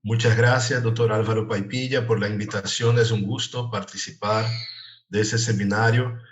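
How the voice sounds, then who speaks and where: Low-voiced Brazilian man lecturing haltingly. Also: fairly even intonation, muffled recording. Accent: Brazilian Portuguese. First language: Portuguese. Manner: halting, frequent restarts and fillers. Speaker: guest lecturer. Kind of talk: academic lecture.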